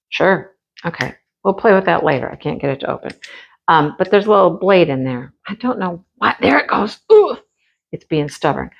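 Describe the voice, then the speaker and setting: A woman's voice: fairly low pitch, gravelly, dull audio. A woman from Michigan who is losing her voice, hosting her craft channel and chatting casually as she shows things off. She gushes often about things she loves. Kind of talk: casual show-and-tell chat.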